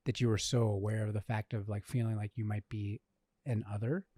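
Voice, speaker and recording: A man's voice: fairly low pitch, little pitch movement, a little fast, dark tone, no room sound, clean studio sound. A man co-hosting a faith podcast, talking earnestly and hesitantly, often restarting his sentences. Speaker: podcast co-host. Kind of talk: casual podcast conversation.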